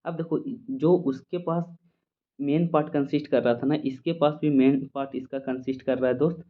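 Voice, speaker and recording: Indian-accented male lecturer, mid-pitched, repetitive and explanatory, checks in often; narrow telephone-grade sound. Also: fairly even intonation. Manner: repetitive, checks understanding often, reads English definitions slowly. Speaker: physiotherapy lecturer. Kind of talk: informal explanatory lecture, point by point. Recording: muffled phone-like audio.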